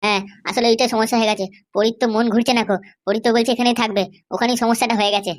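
A cocky cartoon schemer, played by a woman, with a high-pitched voice and extremely quick delivery. The manner is boastful and threatening, always sure of winning. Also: fairly even intonation, close to an everyday voice. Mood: confident. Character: cocky, defiant schemer and ally in a comedy cartoon. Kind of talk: exaggerated cartoon dialogue.

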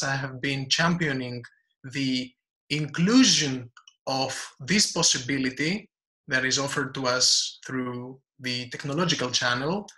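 A man from Greece introduces himself to an online meeting at a deliberate pace. His voice is bright and forceful, at a middle pitch, heard over a video call. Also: poor audio. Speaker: panelist. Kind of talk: self-introduction.

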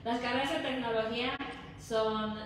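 A woman's voice: a little high, fairly even intonation, moderate pace. Mexican woman, distant in an echoey room. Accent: Mexican Spanish. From Hidalgo, Mexico. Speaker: interview guest. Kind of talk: conversational explaining. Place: indoor lounge nook with brick wall and concrete floor. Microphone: distant camera or phone. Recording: echoey, noisy room, off-mic.

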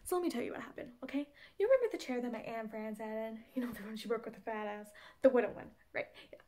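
A woman's voice: a little high, lively intonation, quick pace, darkish tone, lightly acted. A woman in her twenties playing a frantic, exasperated young woman rambling to a friend by phone in a comedy monologue. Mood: flustered, exasperated. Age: 20s. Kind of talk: comedy monologue audition acting.

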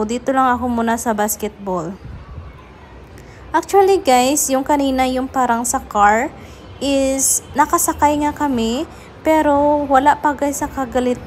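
A woman's voice: high voice, slight background noise. A Filipino woman narrating a casual vlog, chattily addressing her viewers. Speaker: vlogger.

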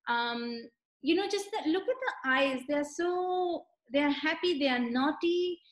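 A young woman tells stories in a high, bright register. She lingers on vivid details as she speaks. The video call audio carries a noticeable room echo. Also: expressive, measured pace. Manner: warm storyteller, lingering on striking details. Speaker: author being interviewed. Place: room with bookshelves, indoors.

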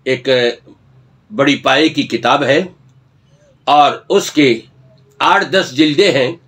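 An older man, an Islamic scholar, talks earnestly to the camera, admonishing and gesturing as he speaks from a study lined with books. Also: on the high side. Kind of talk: religious talk to camera.

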